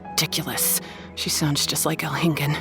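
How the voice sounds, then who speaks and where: A woman's voice: deep voice, flat delivery, moderate pace, over music. A woman voicing a blunt, aloof young beast tamer in a video game, curt and sardonic. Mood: scornful. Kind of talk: video game character dialogue.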